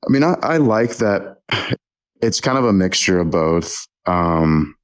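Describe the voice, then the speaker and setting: A man's voice: low-pitched, expressive, unhurried, clear, resonant tone. A young man, talking as a podcast guest in a hesitant, casual way with many ums and restarts. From Texas. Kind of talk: casual interview chat.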